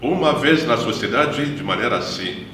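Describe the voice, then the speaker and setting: A man, a missionary priest giving a measured, reflective personal testimony in an interview. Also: medium pitch, animated, sharp tone, a little hiss or hum. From Democratic Republic of the Congo. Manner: measured, reflective, unhurried storytelling. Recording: echoey room, distant mic, guitar music behind.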